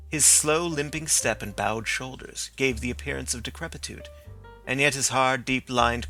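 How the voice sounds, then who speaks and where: A male audiobook narrator reads a classic mystery in a measured, varied manner. His voice is bright with a gravelly edge. Faint music plays underneath. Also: medium pitch. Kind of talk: reading a classic detective story.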